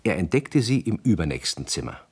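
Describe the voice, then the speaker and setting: A man's voice: low-pitched, very animated, a little fast, background noise. A man narrating a children's story in a scripted 1960s radio play.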